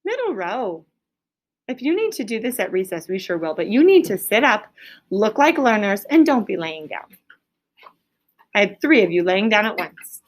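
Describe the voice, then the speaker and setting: A woman's voice: mid-range voice, sing-song, normal speed. A woman teaching an elementary class, quizzing pupils in a firm, directive manner. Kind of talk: classroom lesson with question and answer.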